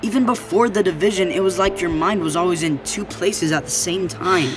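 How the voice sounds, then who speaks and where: A woman's voice: fairly low pitch, brisk, music in the background, close to an everyday voice. A woman playing a young boy, a loyal and exasperated best friend, in a family audio drama. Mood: reasoning.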